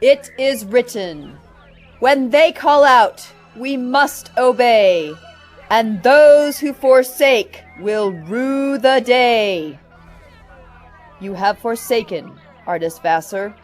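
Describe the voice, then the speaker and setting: Slow, solemn female zealot acted by a woman. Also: a little high, animated, faint music behind. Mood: pitiless. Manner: solemn, declamatory, ceremonial. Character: stern female zealot leader, pitiless, preaching obedience. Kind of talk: audio drama acting.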